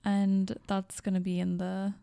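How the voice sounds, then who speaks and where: A woman's voice: mid-range voice, completely flat delivery, a little slow, creaky, dark tone, smooth. A young woman co-hosting a podcast in casual chat, laughing often and trailing off. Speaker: podcast co-host.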